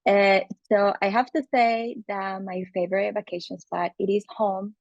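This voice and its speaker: Gravelly Colombian woman, casual podcast guest. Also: mid-range voice, steady tone, moderate pace, no echo, lo-fi sound. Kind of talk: casual conversation.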